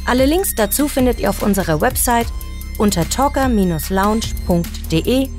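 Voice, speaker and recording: A woman's voice: on the high side, animated, moderate pace, darkish tone, soft background music. A woman reading a scripted podcast promo as an announcer.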